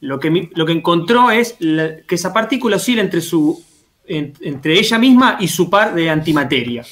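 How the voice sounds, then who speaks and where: A man's voice: a little high, expressive, moderate pace, fairly bright tone. A man with an Argentine accent explaining in casual conversation, didactic and often checking that listeners follow with short tag questions. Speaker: panelist explaining science.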